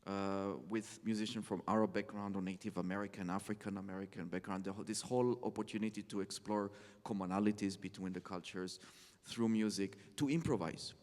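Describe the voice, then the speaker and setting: A man speaking reflectively as a guest on stage, halting and repeating phrases for emphasis. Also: on the low side, fairly even intonation, darkish tone. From Israel. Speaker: interview guest, orchestral cellist. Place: on stage in a concert hall. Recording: reverberant concert hall.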